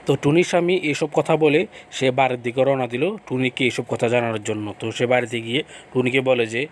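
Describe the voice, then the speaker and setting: A man's voice: medium pitch, steady tone, brisk, slight background noise. A man narrating a cartoon folk tale in a simple, repetitive storytelling manner.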